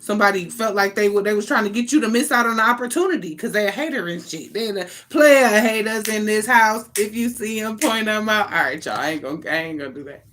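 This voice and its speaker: A woman's voice: medium pitch, animated, fast, hardly any pauses, a bit bright. An American woman giving an informal, improvised tarot reading as an online host, talking in an emphatic, slangy, conversational way with casual swearing.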